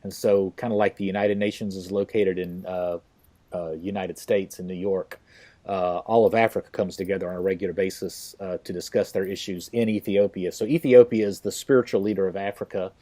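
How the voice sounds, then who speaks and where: Low-voiced man hosting a podcast. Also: fairly even intonation, mellow. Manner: halting, many fillers and restarts. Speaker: podcast host. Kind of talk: casual interview talk.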